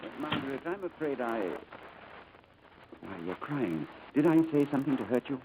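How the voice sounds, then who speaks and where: An actor playing a reserved, courteous gentleman with a hesitant, formal manner in a 1940s radio drama. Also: mid-range voice, expressive, moderate pace, phone-quality sound, natural voice. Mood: concerned, tentative. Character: reserved, courteous wealthy English businessman, haunted by lost past. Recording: noisy vintage radio broadcast.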